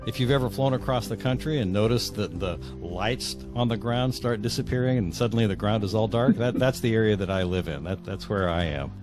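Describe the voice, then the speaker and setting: Lowish-voiced American man, halting and conversational. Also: over music. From northwest Iowa, United States. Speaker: podcast guest, fiction author. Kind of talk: casual conversational interview answers.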